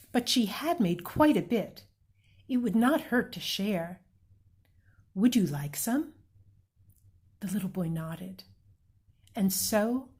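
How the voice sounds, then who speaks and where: A woman reading a children's picture book aloud, slow and gentle, pausing to point out the pictures. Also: mid-range voice, husky. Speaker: read-aloud reader.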